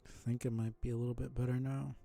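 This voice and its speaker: A man's voice: medium pitch, level tone, fast talker, gravelly, mellow, faint music behind. A man casually commentating a live video game stream, rambling along in a mumbly, mildly annoyed way.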